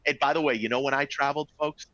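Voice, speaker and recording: A man's voice: mid-range voice, fairly even intonation, fast, bright, forceful tone, dry recording, highs cut off. A middle-aged man with a Boston accent presents a live webinar to travel agents, upbeat and chatty, often checking in with "all right?" and "folks". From Boston area, US.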